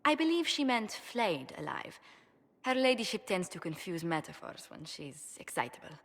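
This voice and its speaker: Game ambassador: courteous, formal, mildly exasperated diplomat with animated intonation and long room reverb. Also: female voice, fairly low pitch. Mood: polite, measured. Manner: formal, polite, measured phrasing. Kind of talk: video game character dialogue.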